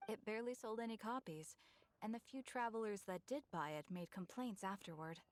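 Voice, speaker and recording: A woman's voice: mid-range voice, a little fast, dry recording, close to an everyday voice. An actress playing a businesslike female bookshop keeper in a game, matter-of-fact and informative. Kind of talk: game voice acting.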